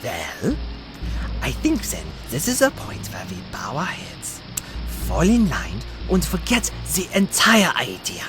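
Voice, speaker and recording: Give this fantasy audio drama a shrewd sidekick played by a man. His pitch swings dramatically as he teases, and he takes his time over each phrase with sardonic relish. Music plays underneath. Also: wildly swooping pitch, slow, heavily acted. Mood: sly, questioning. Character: Klaus, wry German-speaking male companion, teasing and shrewd.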